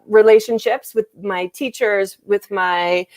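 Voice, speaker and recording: A woman's voice: medium pitch, steady tone, slow pace, darkish tone, no echo. A woman translator and interpreter answering interview questions in a casual, hesitant way, with many fillers and restarts over a video call.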